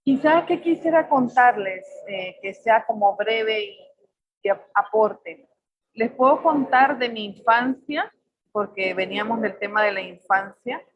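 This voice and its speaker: A woman in her forties from El Salvador, with a Salvadoran accent tinged with Mexican, speaking as a poet on a video call. She talks thoughtfully, searching for words and filling pauses often. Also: on the high side, highs cut off. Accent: Salvadoran Spanish mixed with Mexican. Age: 40s. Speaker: poet on a panel. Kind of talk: reflective personal talk.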